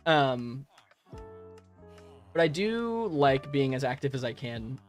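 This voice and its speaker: A man's voice: mid-range voice, very animated, takes it slowly, soft background music. A young adult man live streaming and chatting casually with viewers while he plays, friendly and rambling, with frequent laughs and restarts on a modest home microphone. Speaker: live streamer answering chat.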